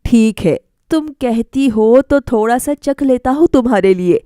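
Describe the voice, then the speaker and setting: A woman voicing a reluctant but affectionate young husband in a storybook, hesitant and gently refusing. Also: fairly high pitch, animated, measured pace, dry recording, clean studio sound.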